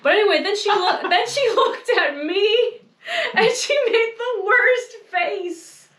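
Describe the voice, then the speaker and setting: A young woman co-hosting a casual chat, self-mocking and quick to laugh. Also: extremely high pitch, fairly bright tone, roomy sound. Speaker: co-host.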